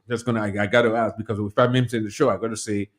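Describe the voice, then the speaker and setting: A man's voice: on the low side, little pitch movement, fast. A man with a Dominican accent hosting a casual radio interview in a studio, talking in stumbling, repeated phrases. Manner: chatty, stumbling, repeats words. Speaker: radio host.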